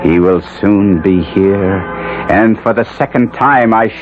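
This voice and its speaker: An actor playing an anguished nobleman trapped in a monster's body, narrating theatrically and deliberately in a 1970s radio drama. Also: fairly low pitch, music in the background. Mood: tense anticipation. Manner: theatrical, florid, deliberate storytelling. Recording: lo-fi phone-like vintage radio broadcast.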